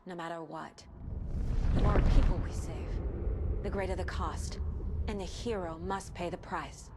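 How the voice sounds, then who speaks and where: An actress playing a determined, level-headed investigator in a video game cutscene, speaking firmly and seriously. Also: female voice, on the low side, fairly even intonation, background noise. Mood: resolute. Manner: firm, measured, serious.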